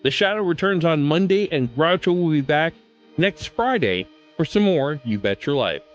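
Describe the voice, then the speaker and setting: A man's voice: fairly high pitch, sing-song, moderate pace, lo-fi sound, faint music behind. A man hosting a podcast, reading a scripted sign-off. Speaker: podcast host.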